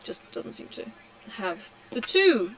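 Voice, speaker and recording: Slow, hesitant Australian-raised woman chatting. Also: mid-range voice, huge pitch swings, vocal fry, heavy background noise. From Australia (Tasmania). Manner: slow, hesitant, many ums and pauses. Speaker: craft vlogger. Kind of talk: relaxed chat while crafting.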